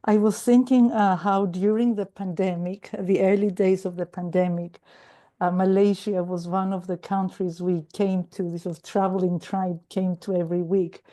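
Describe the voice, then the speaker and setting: A woman from Greece sharing her story as guest speaker at a recovery meeting, earnest and hesitant with many restarts, her voice a bit raspy from a cold, speaking over a video call. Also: lowish voice, fairly even intonation, normal speed, mellow. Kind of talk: personal sharing talk.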